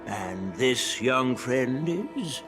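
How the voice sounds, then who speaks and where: A man's voice: mid-range voice, sing-song, drawn-out words, over music. A man playing a long-lived, modest elder general and master smith in a video game, speaking genially. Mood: genial, curious. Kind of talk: video game story voice acting.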